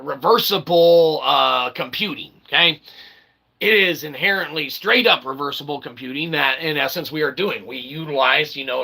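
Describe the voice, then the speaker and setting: A man hosting his own channel in a rambling solo monologue, with raspy, mock-formal, wordy phrasing mixed with slang and frequent check-in tags. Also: mid-range voice, bright, forceful tone, poor audio. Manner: repeatedly tags phrases with "okay" and "all right". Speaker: channel host.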